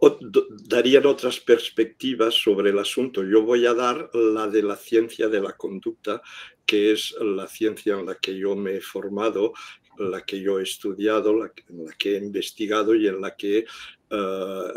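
Older man, unhurried, hesitant, video call from home. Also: lowish voice, level tone, a little mellow. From Catalonia, Spain. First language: Catalan. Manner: unhurried, hesitant with many fillers. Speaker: guest scientist. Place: home room indoors. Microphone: video call webcam.

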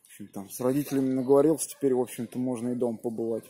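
A man's voice: medium pitch, steady tone, very fast, dark tone, breathy edge. A man in his thirties chatting as an amateur radio operator and spelling out call signs, recorded outdoors on a phone held at arm's length. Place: outdoors in a snowy park at evening. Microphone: phone camera at arm's length.

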